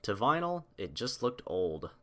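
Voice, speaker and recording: A man's voice: lowish voice, big pitch swings, unhurried, sharp tone. A man reading scripted fiction aloud as the narrator of a story.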